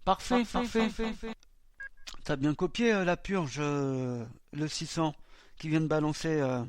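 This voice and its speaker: A man's voice: on the high side, takes it slowly. A man hosting a web radio show, talking casually and hesitantly with many filler sounds.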